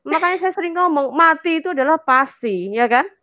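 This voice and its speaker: A woman's voice: high-pitched, animated, fairly quick, bright, forceful tone, no room sound, dull audio. An Indonesian woman in an impassioned, conversational religious discussion, emphatic and colloquial, repeating phrases and checking for agreement. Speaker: talk show speaker on religion.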